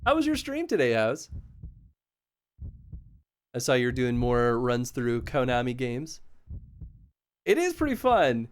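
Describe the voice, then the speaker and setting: A man streaming a horror game live, chatting casually and yelling excitedly at the action. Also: medium pitch, takes it slowly, long pauses, clear, resonant tone. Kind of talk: live gameplay commentary.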